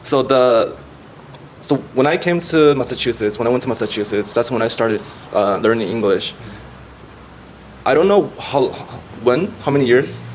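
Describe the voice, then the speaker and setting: A man whose first language is Japanese, telling his story as a podcast guest in a relaxed, easygoing way. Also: medium pitch, normal speed, a little hiss or hum. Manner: relaxed storytelling, easy laughs. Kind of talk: casual conversation.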